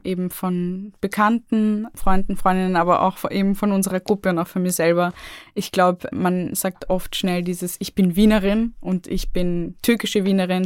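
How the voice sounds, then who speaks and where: A young woman, a guest in an interview, speaking earnestly and haltingly with many fillers. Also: on the low side, clean tone, no room sound, clean studio sound. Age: young adult. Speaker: guest, youth project member. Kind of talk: conversational interview.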